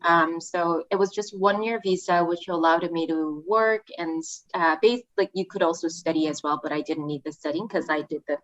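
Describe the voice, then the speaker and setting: A woman's voice: on the low side, normal speed, hardly any pauses, muffled recording. A woman from Korea, talking as a guest in a friendly, chatty way with many fillers. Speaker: guest, student recruitment manager. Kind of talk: podcast interview.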